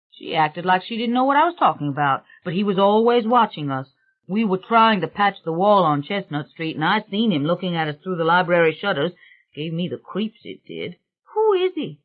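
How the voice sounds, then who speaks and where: A woman's voice: medium pitch, narrow, tinny audio, voice pushed up, close to an everyday voice. A woman voicing a rattled, aggrieved working-class male painter in an audiobook, talking in an emphatic, colloquial way.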